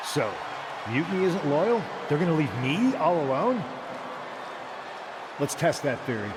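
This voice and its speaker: A man's voice: a little high, very animated, unhurried, crowd noise. An actor playing a smug, contemptuous rebel wrestling leader in a video game, mocking and condescending as he delivers a promo. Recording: very noisy arena sound.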